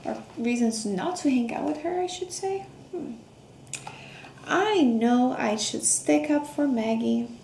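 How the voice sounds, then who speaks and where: A woman reading a picture book aloud to children, expressive, with questions, gasps, hums and hushed asides. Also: sits high, takes it slowly, vocal fry. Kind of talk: interactive children's read-aloud with questions to young listeners. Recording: roomy echo, faint background noise.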